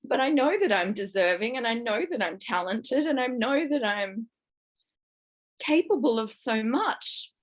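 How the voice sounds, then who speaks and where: A woman in her fifties with a croaky voice, sharing raw, distressed feelings in a book club and swearing as she vents. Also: fairly high pitch, expressive, unhurried. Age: 50s. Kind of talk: emotional personal sharing.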